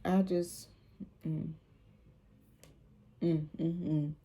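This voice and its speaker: Low, gravelly, soothing woman. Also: little pitch movement, very slow, mellow. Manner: rambling, fillers and repeats. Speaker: vlogger. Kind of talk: casual faith talk.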